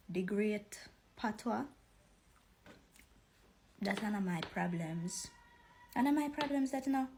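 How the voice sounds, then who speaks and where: Gravelly woman in patois, slow. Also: medium pitch, a little mellow, a little hiss or hum, low-quality recording. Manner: speaks in Jamaican patois. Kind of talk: casual rant.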